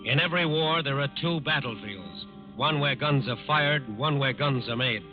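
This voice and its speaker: A man's voice: medium pitch, normal speed, natural voice. Solemn American radio narrator played by a man over music. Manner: oratorical, earnest. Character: solemn, resolute male narrator of a wartime crime drama. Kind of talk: scripted 1940s radio narration. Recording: dull lo-fi 1940s radio recording.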